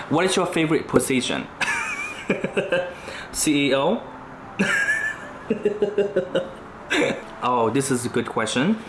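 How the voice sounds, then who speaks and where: A young man from Vietnam, speaking English with a Vietnamese accent, chatting casually on his vlog with lots of "you know" fillers. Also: medium pitch. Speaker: YouTuber. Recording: echoey room with faint noise.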